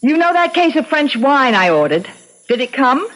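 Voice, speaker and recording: A woman's voice: sits high, sing-song, moderate pace, fairly bright tone. A woman playing a rich, decisive lady of the house in a 1970s radio drama, confident and commanding. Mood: commanding, self-assured. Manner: confident, commanding, matter-of-fact. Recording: old radio broadcast recording.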